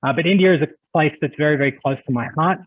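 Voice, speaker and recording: A man's voice: a little high, rapid-fire. Aussie guy presenting on a video call, friendly and chatty, lots of uhs, tinny phone-like sound. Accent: Australian. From Australia. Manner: friendly, chatty, with frequent "uh" fillers. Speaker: conference presenter. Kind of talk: webinar presentation. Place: home office on a video call.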